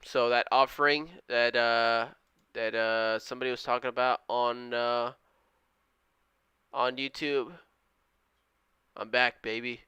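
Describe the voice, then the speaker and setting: Bright, crisp-voiced young streamer guy. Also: mid-range voice, flat delivery, takes it slowly, pauses often, dry recording, dull audio. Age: 20s. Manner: upbeat and friendly, slangy, thanks viewers often. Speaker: live-stream day trader. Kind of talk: casual live-stream chat, reading viewer comments.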